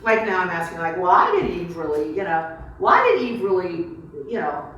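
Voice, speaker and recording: Woman author at a reception Q&A, very lively intonation, informal and wandering delivery, strongly reverberant room. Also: on the low side, moderate pace, slight background noise. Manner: animated, informal thinking aloud in broken phrases. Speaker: author answering audience questions. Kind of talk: casual, digressive question-and-answer talk at a book reception. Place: reception room before a small audience. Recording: echoey room, picked up from far off.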